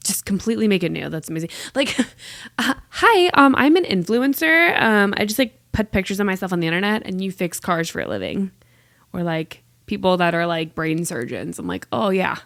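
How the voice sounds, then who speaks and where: A woman's voice: on the low side, no echo, studio quality. A woman in her late twenties hosting a casual solo podcast, chatting in a rambling, self-deprecating way with lots of "like" fillers. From United States. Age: 20s. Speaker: podcast host.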